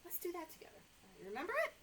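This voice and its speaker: A middle-aged American woman reading and chatting to toddlers as a story time host, in an animated, exaggerated way, recorded indoors by a camera a short way in front of her. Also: extremely high pitch, rapid-fire, a little hiss or hum. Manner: animated, exaggerated expression for young children. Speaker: children's librarian hosting story time. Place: small indoor room with sofa.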